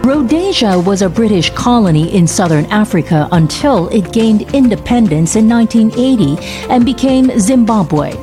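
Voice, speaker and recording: A woman narrating a documentary and asking interview questions in a measured, composed way. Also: medium pitch.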